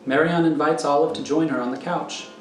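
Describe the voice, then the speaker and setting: A man's voice: on the high side, steady tone, brisk. A man narrating screenplay directions in a matter-of-fact, descriptive way. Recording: echoey, prominent music underneath.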